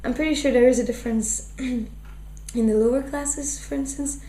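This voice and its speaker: Young flemish woman, warm voice, lots of ums and restarts, roomy echo with a low hum. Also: fairly even intonation, normal speed. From Flanders, Belgium. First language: Dutch. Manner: thoughtful, full of fillers and restarts. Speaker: interviewee. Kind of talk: casual interview. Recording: echoey room with faint hum.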